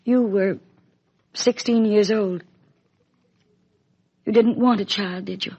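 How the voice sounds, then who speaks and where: Lo-fi 1950s radio drama, hurt grown daughter, breathy voice, hesitant searching manner, restrained acting. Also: female voice, mid-range voice, steady tone, slow pace, a little mellow. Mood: wounded questioning. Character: hurt grown daughter questioning her birth mother. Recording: dull lo-fi 1950s radio recording.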